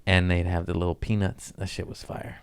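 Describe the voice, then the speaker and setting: A man with a low-pitched voice hosts a loose comedy podcast. He chats casually and jokily, with plenty of slang and swearing. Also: level tone, fairly quick, creaky, clear, resonant tone. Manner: slangy, profane, jokey. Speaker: podcast host.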